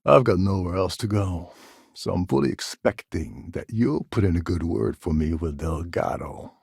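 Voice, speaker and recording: An actor voicing a cynical, scheming space pirate pilot in a video game, with a gravelly, husky voice and a wry delivery. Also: male voice, low voice, moderate pace, a little mellow, no room sound, studio quality, full character voice. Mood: dry, resigned. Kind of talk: video game character dialogue.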